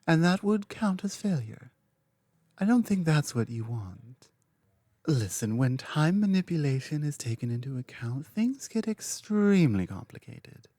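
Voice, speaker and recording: A woman playing a powerful dragon queen in an ASMR roleplay, speaking in a deliberate, solemn manner that dips into whispers. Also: low voice, sing-song, warm tone, dry recording, full character voice. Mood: firm, measured. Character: powerful, commanding dragon queen training a human champion. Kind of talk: ASMR audio roleplay, scripted monologue.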